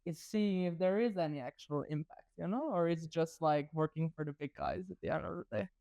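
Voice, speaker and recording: A woman's voice: deep voice, expressive, fairly quick, dark tone, dry recording, muffled recording. A young woman from Slovenia with a Slovenian accent, co-hosting a casual podcast chat with short, laughing interjections. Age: young adult. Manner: brief interjections, laughs easily. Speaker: podcast co-host.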